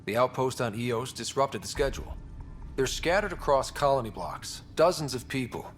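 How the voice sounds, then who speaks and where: A man's voice: expressive, normal speed, soft background music, lightly acted. A man playing an earnest young space explorer and leader in a video game. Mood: concerned. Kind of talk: video game dialogue acting. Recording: faint background rumble.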